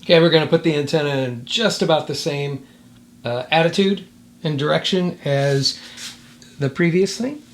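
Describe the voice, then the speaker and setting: A man giving a casual hands-on product demonstration, thinking aloud in a halting way. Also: fairly high pitch, slight background noise. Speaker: product reviewer.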